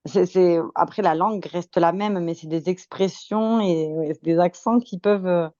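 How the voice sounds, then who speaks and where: A woman in her twenties chats casually as a podcast guest, in a slightly low voice. She has a French accent with a faint Québécois tinge and a chatty, good-humored manner. The sound is dry and a little dull. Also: moderate pace, dark tone, no room sound. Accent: French from France with slight Québécois tinge. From France. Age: 20s. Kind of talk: casual chat, telling anecdotes.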